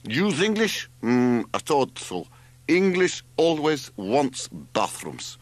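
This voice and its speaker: Loud, gruff taxi driver. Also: male voice, very animated, slow pace, no room sound. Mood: boastful, eager. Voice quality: rumbling, roaring. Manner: blunt, boastful, mangled grammar. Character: gruff, barrel-bodied Greek taxi driver with broken American-learned English.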